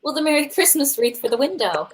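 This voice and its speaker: A woman acting as a cheerful, patient wife in a radio drama. Her voice is high, chatty and soothing, with some faint noise behind it. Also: sing-song, fast talker. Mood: cheerful, affectionate. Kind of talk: live radio play reading. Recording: noticeable faint background noise.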